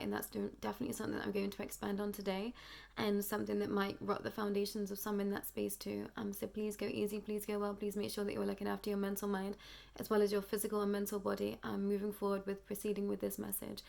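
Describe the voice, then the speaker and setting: Hoarse, flat-voiced woman. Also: medium pitch. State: hoarse, nearly lost voice. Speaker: channeler hosting a spiritual channel. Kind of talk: reading a channeled message aloud.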